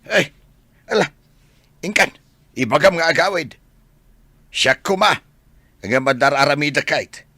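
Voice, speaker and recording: Animated, exasperated older Ilocano father played by a man. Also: on the high side, a little slow, long pauses, dry recording, full character voice. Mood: insistent, urging. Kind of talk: radio drama acting.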